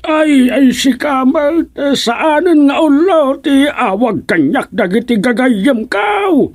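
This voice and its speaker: An excitable comic character in a radio comedy drama, protesting in a high voice. The pitch swoops widely while the words come slowly. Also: female voice, exaggerated voice. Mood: excited, protesting.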